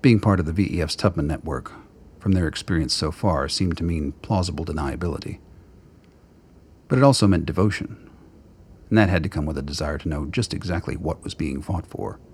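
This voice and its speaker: Very deep-voiced male storyteller. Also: a little mellow, husky. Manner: measured literary storytelling. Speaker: narrator. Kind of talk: scripted dramatic narration.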